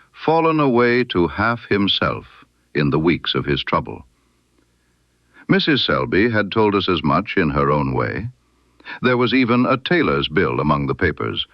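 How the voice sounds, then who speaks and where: A deep-voiced man narrates a literary detective tale in a measured, formal way. His pitch moves expressively through the long scripted sentences, and the recording is dry and close. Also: no room sound, lo-fi sound. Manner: measured, formal storytelling delivery. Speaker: audiobook narrator. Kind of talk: scripted literary mystery narration in Victorian first person.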